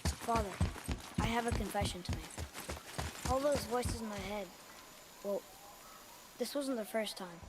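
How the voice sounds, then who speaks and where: A man's voice: high voice, measured pace, long pauses. A young male actor playing a sullen, curious boy in a video game, with an informal, snarky way of talking. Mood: hesitant, confiding. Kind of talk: video game cinematic acting. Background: ambient noise.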